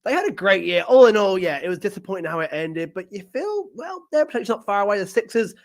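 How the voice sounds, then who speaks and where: A man's voice: sits high, very animated, fast. Enthusiastic podcast host man. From Britain. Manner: enthusiastic, repeats words, laughs often. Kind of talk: casual podcast interview.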